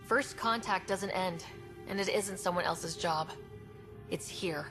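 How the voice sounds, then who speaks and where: An actress playing a young woman explorer leader in a video game, earnest, steady and diplomatic in a measured way. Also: lowish voice, over music, close to an everyday voice. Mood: solemn, hopeful. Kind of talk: video game cutscene acting.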